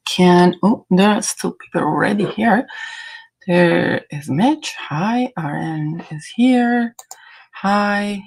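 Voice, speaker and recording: A woman's voice: lowish voice, slow, gravelly, a little mellow, breathy edge. A woman from Iran whose native language is Azeri, hosting a casual livestream chat in a hesitant, rambling way. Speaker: livestream host.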